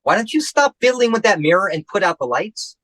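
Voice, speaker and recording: A man's voice: sits high, sing-song, a little fast, a bit bright, slight background noise. A man playing a grumpy, sleepy husband with crabby, sarcastic wit in an old-time radio comedy.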